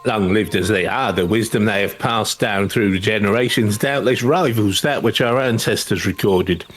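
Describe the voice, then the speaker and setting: A man plays a humble, learned creature from a fantasy game. The voice sits fairly low, respectful and formal, with faint music underneath. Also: normal speed, fairly bright tone, close to an everyday voice. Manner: formal, archaic phrasing. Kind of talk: reading video game dialogue in character.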